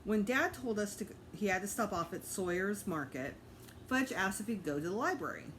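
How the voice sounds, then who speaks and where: A woman's voice: medium pitch, expressive, normal speed, fairly bright tone, slight background noise. A woman narrating a children's book aloud as a teacher reading to students.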